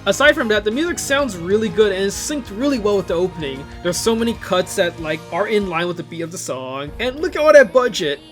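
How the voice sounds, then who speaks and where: A man giving casual, enthusiastic video commentary in an excitable, conversational way, full of exclamations and asides. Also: sits high. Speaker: video host commenting on visual novel openings. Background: music playing behind the voice.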